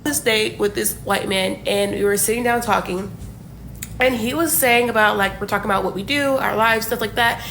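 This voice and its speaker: A young woman in her twenties rambles through a dating story, piling up fillers and asides. She sounds casual and unscripted. A faint rumble sits under her voice. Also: moderate pace, vocal fry, fairly bright tone, low-quality recording. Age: 20s. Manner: chatty, many fillers and asides.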